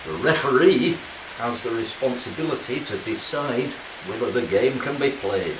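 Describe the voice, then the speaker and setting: Actor as an earnest referee, animated, echoey and distant. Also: male voice, mid-range voice, normal speed, lightly acted. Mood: formal concern. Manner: formal, firm, pedantic. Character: earnest, principled, cautious male rugby league referee. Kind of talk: radio play acting. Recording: echoey, distant, loud background hiss.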